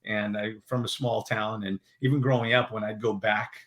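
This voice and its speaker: A man from Portugal, a guest on a podcast, telling stories in a friendly, relaxed way. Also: fairly low pitch, normal speed. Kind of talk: casual interview chat.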